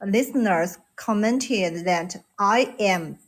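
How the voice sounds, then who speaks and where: A woman's voice: medium pitch, slow. A woman from China with a Chinese accent, speaking English in a casual chat, hesitant with many fillers and restarts. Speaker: caller on a live English voice room.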